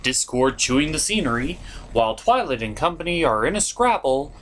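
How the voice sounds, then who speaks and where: A man's voice: on the high side, big pitch swings, brisk, a bit bright, a little hiss or hum. A man hosting a comedic scripted cartoon review in a theatrical, sarcastic and exasperated manner. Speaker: host of an online puppet review show.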